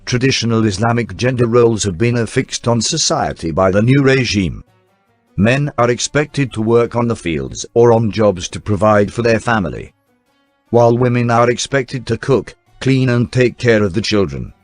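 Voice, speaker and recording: A man reading scripted newsreel documentary narration in a formal, measured announcer style. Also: medium pitch, lively intonation, no room sound. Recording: dry voice-over with faint music bed. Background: faint background music.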